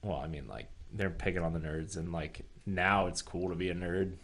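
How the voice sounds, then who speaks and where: A man of about thirty with a distinctly deep voice co-hosts a casual games podcast. His talk is laid-back and slangy, like chatting with a close friend. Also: normal speed, a bit bright. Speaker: podcast co-host.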